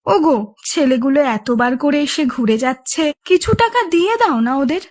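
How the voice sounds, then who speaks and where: A nagging cartoon wife, voiced by a woman, with an extremely high voice that swings widely in pitch. She is melodramatic, complaining and quick to taunt. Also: sing-song. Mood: reproachful. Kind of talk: Bengali cartoon voice acting.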